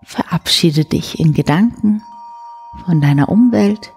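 A woman in her forties hosting a bedtime show, guiding the listener gently and soothingly with a soft, breathy voice. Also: lowish voice, expressive, measured pace. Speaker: host of a bedtime story show. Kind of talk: guided sleep meditation, soft bedtime storytelling. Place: indoor room beside a wood stove. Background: soft music.

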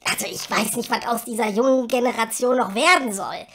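A woman playing a cheeky, excitable female elf in a comedy audio drama, dramatic and quick to grumble. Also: fairly high pitch. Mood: grumbling.

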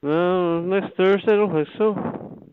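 A man's voice: high-pitched, slow pace, a little hiss or hum. A man with a Northern Irish accent from Derry, chatting casually on the phone in an easygoing, bantering way while taking a booking query. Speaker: venue staff answering a phone booking. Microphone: telephone. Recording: muffled narrow-band phone line.

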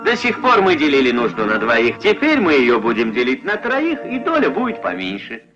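A man voicing a humble, good-hearted young woodcutter in a puppet fairy-tale film, in an animated, plain-spoken way. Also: mid-range voice, normal speed, faint music behind. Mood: kindly, amused. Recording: muffled old film soundtrack.

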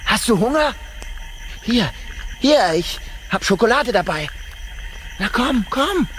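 A man's voice: extremely high pitch, very animated, slow, fairly bright tone, a little hiss or hum, full character voice. A man playing a practical, curious expedition companion in a science fiction radio drama, speaking tersely. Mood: coaxing.

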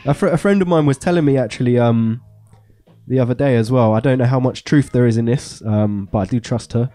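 A man's voice: medium pitch, brisk, mellow. A man in his thirties co-hosting a radio show, chatting casually about dance music in a small studio into a handheld mic, with a stuffy nose from a cold and a rambling way of talking. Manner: rambling, many fillers and restarts.

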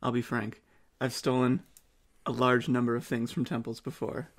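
A man playing a tabletop game in character, speaking in terse, deadpan phrases. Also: mid-range voice, normal speed. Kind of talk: in-character role-play.

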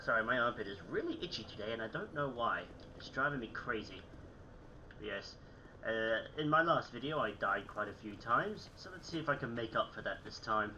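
A man's voice: medium pitch, lively intonation, normal speed, pushed and bright, lo-fi sound, a little hiss or hum. A young man with a slightly phlegmy, sick-sounding voice, chatting casually over his gameplay from a small room at home. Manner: chatty, self-mocking, frequent throat clearing. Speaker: gaming channel host.

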